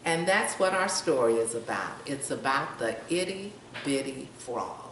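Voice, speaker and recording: An older woman reads a picture book to children in a slow, highly varied voice. She pauses to ask questions and point out pictures. The sound is echoey and a little far from the mic, with faint noise. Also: big pitch swings, fairly bright tone. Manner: asks young listeners questions, points out pictures. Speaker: storytime reader.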